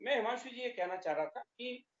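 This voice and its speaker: A man's voice: very high voice, expressive, a little fast. A man making an argument as a political debate panelist, restating phrases as he goes. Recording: echoey room, muffled remote-link audio.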